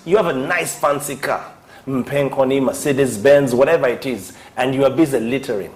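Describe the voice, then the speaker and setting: A man's voice: medium pitch, moderate pace. Emphatic man scolding. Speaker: TV segment presenter. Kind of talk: scripted TV segment.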